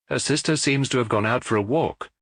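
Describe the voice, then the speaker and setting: A man's voice: mid-range voice, a little fast, fairly bright tone, no room sound, studio quality, pitched above the performer's own voice. A man voicing an abrupt, serious detective in a mystery audiobook. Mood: grave. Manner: abrupt, grave. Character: abrupt, serious, swarthy male detective friend of a priest.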